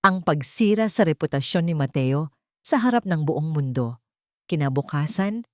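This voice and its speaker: A woman's voice: low voice, a little mellow, dry recording, studio quality. A woman reading a scripted dramatic love story as narrator.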